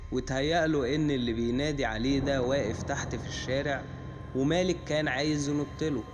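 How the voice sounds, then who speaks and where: A man with an Egyptian Arabic accent retelling a cartoon story for children, in a plain, steady way that chains one event to the next. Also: mid-range voice, noisy background. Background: music.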